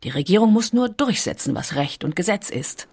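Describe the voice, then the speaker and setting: A woman reading scripted documentary narration in a measured, deliberate storytelling manner with weighty pauses. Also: fairly low pitch, sing-song, darkish tone, breathy edge.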